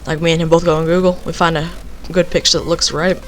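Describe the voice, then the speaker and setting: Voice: mid-range voice, little pitch movement, fast talker, faint music behind. A young person telling a casual, rambling story, leaning on filler phrases. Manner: rambling, repeats filler phrases like "basically" and "you know".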